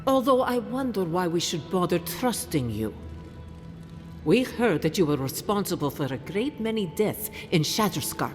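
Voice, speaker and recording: An actor playing a proud, stern and suspicious council leader in a fantasy game, with a formal, challenging, imperious manner. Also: male voice, high-pitched, sing-song, moderate pace, faint music behind. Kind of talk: dramatic fantasy game acting. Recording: long hall reverb.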